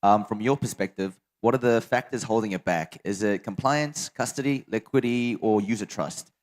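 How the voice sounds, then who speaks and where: Male moderator, lower voice, friendly upbeat question-asker. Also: normal speed. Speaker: panel moderator. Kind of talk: moderating a panel.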